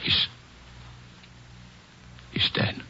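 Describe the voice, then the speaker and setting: An actor playing a middle-aged father breaking down over his dead son, stammering and sobbing in 1940s radio acting style. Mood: grieving. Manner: stammering, pleading, halting. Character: grief-stricken father whose young son is dead. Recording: dull old radio broadcast.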